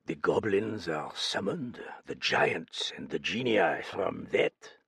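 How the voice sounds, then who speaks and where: A man's voice: low-pitched, animated, slow pace, vocal fry, a bit bright, husky, no room sound, studio quality, full character voice. A man voicing a theatrical, scheming older Frenchman, speaking in a mannered way.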